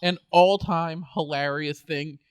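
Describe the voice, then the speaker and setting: Higher-voiced man in his thirties. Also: steady tone, slow pace, clean tone, dry recording. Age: 30s. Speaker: podcast co-host. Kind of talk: casual chat.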